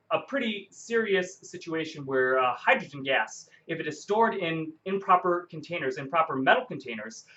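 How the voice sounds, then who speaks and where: A man giving an unscripted, explanatory science lecture, halting and often correcting himself as he goes. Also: fairly high pitch, pushed and bright, highs cut off.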